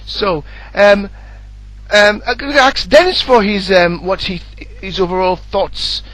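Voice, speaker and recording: A man's voice: sits high, a little slow, a bit bright, dry recording, rough recording. A man co-commentating on a sim race in a casual, matey way, chatting and putting questions to others. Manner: informal, matey.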